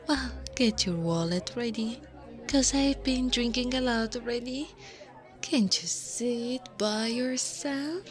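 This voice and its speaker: Woman acting slow-talking, teasing older slime woman. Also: fairly high pitch. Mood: playful, teasing. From Italy. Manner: teasing, breathy half-whispers, frequent soft laughs. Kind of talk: ASMR roleplay. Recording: music behind the voice.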